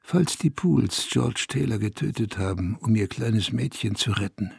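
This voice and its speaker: An actor playing a wistful, bitter man in a first-person radio drama, speaking in a measured, intimate way. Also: fairly low pitch, creaky, breathy edge, no room sound, exaggerated voice. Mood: bitter, intense. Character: wistful man recalling a lost idol, brooding and bitter.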